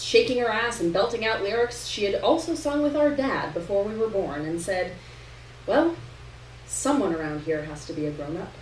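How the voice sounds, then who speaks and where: A woman giving an expressive, dramatic first-person reading of a story at a live literary event. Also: medium pitch. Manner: dramatic delivery, acting out dialogue. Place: indoor room at a live reading. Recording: roomy, distant live recording with noise.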